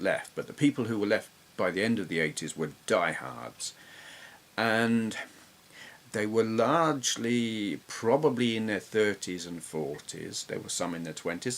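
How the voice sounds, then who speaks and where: A middle-aged man with an English accent giving casual, reflective, slightly halting commentary as he reminisces. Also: on the low side, very animated, husky. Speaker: retro gaming YouTuber.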